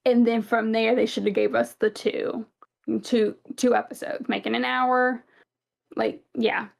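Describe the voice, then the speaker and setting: Chatty Southern woman, slightly gravelly. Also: on the high side, flat delivery, unhurried. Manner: chatty, rambling, many fillers. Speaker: podcast co-host. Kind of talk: casual fan podcast chat.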